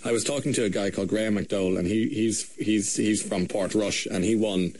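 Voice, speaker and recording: Fast-talking Irish male radio host. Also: on the low side, fairly even intonation, a little mellow, a little hiss or hum. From Ireland. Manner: teasing, leading questions. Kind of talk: radio interview. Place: radio studio.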